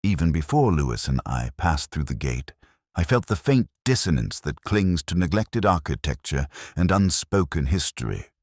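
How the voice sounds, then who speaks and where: An older man playing a melancholy, cerebral detective, narrating a scripted mystery in a measured, reflective way. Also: rumbling low, very animated, a little mellow, dry recording, studio quality, heavily acted. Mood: uneasy, reflective. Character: melancholy, cerebral, brooding male English police detective.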